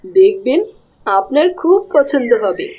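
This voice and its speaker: A woman's voice: sits high, animated, measured pace, dark tone, poor audio. A woman voicing a naive, dutiful village wife in a cartoon, with an earnest, coaxing manner. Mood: eager, obliging. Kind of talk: Bengali cartoon dialogue.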